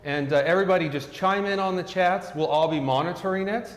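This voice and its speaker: A middle-aged man with a fairly high voice hosts a live-streamed hair class with upbeat, promotional energy. He is recorded in a roomy studio whose sound rings out with a long reverb. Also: fairly quick. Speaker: host.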